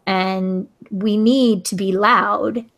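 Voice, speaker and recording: A woman's voice: mid-range voice, steady tone, extremely slow, fairly bright tone. A woman educator hosting a video call discussion, speaking earnestly and emphatically, often restarting mid-sentence. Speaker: educator, hangout host and author.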